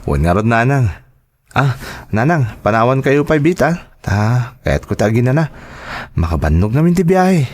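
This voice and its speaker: Young Filipino son, earnest and reassuring, mid-pitched male actor, natural audio drama dialogue. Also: big pitch swings, normal speed. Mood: earnest, dutiful. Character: earnest, reassuring grown son planning to work abroad.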